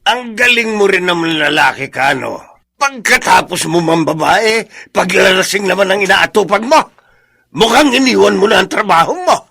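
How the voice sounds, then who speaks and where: An actor playing an indignant, protective old father-in-law with a husky, gravelly voice and a Filipino accent in a radio drama. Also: sits high, lively intonation, normal speed, pushed and bright, exaggerated voice. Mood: angry. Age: older.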